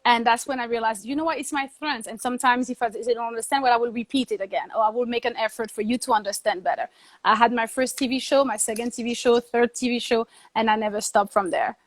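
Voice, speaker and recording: This woman talks in a somewhat high voice and tells her story with animation as an interview guest. She often slips into quoting her own past thoughts. Her sound is dry and close, with little room around it. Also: steady tone, fast, no echo. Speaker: guest, TV producer and journalist.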